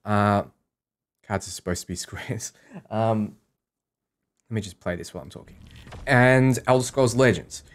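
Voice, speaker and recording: Low-voiced Australian man streaming casually. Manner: informal, thinking aloud. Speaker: live streamer and host. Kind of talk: casual livestream chat.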